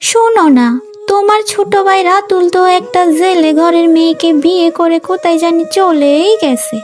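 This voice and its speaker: A woman's voice: very high voice, steady tone, normal speed, darkish tone, faint music behind. A woman voicing a greedy, bossy, scheming wife in a cartoon, domineering and wheedling. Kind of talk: cartoon voice acting.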